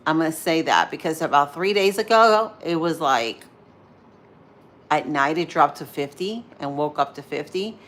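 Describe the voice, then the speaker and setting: Woman, 30s, Spanish-influenced accent, lower voice; rambling, friendly livestream host reading out comments. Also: normal speed, fairly bright tone. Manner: chatty, rambling, answers viewer comments as she goes. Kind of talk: casual morning livestream chat while cooking.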